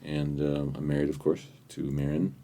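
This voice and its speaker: A man in his fifties from Hawaii with an extremely low voice full of gravelly fry. He talks through his story in a relaxed, halting way, correcting himself as he goes. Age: 50s. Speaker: guest. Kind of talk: casual interview chat.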